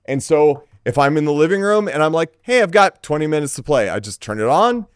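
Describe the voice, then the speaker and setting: A man's voice: on the high side, very animated, brisk, clean tone, no room sound, clean studio sound. A man co-hosting a casual gaming podcast, speaking informally and emphatically with plenty of slang. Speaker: podcast co-host.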